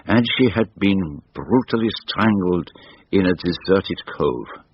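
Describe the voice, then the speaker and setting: An actor playing a shrewd, fastidious older detective with a French-speaking Belgian accent, musing precisely in a radio drama. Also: male voice, deep voice, expressive. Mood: grave, recounting. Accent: French-speaking Belgian, acted. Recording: dull, noisy radio drama recording.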